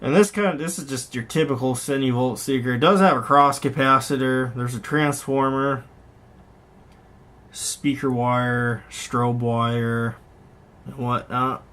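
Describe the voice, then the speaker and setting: A man with a medium-pitched voice talks casually as a hobbyist vlogger. He rambles conversationally through what he is showing, unscripted, correcting himself along the way. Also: steady tone, measured pace, a bit bright. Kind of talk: casual unscripted show-and-tell commentary on online listings.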